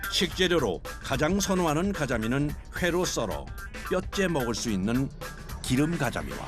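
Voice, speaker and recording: A man's voice: on the high side, sing-song, moderate pace. A man reading scripted documentary narration in Korean, with music playing behind him. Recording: loud music bed behind voice.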